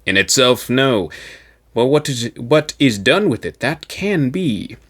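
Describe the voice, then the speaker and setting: A man's voice: medium pitch, sing-song, slow pace. A man voicing a diligent, deferential aide in a far-future audiobook, speaking respectfully and carefully. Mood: cautious, urging.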